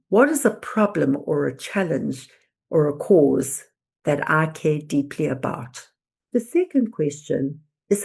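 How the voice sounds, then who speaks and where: A woman presenter delivering scripted motivational narration in a deliberate, emphatic, oratorical manner. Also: low voice, vocal fry, darkish tone. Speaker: host and presenter.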